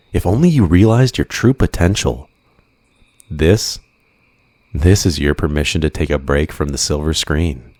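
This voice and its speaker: Man acting a very deep-voiced obsessive villain. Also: dry recording. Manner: slow, teasing, drawn-out delivery with softly menacing endearments. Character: obsessive flirtatious male supervillain, menacing, possessive, smugly coaxing. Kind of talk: ASMR roleplay monologue.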